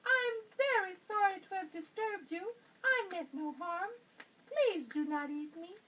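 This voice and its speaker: Woman doing a squeaky, begging little mouse for a storybook, pitched way up. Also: expressive, a little slow, a bit bright, recorded from a distance, a little hiss or hum, exaggerated voice, low-quality recording. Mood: pleading. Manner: squeaky, pleading. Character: timid pleading little male mouse.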